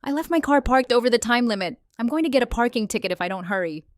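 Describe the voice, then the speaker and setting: A woman's voice: a little high, lively intonation, quick pace, dry recording, studio quality, lightly acted. A woman playing an earnest, emotional adult stepdaughter in an audio story. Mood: hurried. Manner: trembling, earnest. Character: emotional woman meeting her former stepfather.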